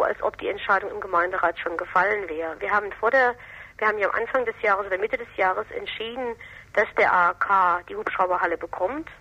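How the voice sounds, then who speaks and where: A woman explaining local politics in a discussion, critical and emphatic, with halting phrasing. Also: medium pitch, sharp tone, breathy edge. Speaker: political commentator on local council affairs. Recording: dull, lo-fi radio interview recording.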